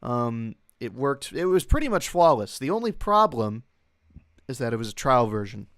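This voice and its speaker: Clear-voiced young man chatting on a livestream. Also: mid-range voice, very animated, normal speed, no room sound, clean studio sound. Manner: rambling, hesitant, self-interrupting. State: occasional coughing from long covid. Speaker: livestream host. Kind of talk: casual livestream chat.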